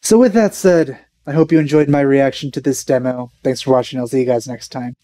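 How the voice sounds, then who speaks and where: A man's voice: mid-range voice, steady tone, brisk, dark tone, no room sound. A young man giving casual reaction commentary in a laid-back, musing way. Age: young adult. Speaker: reaction video host.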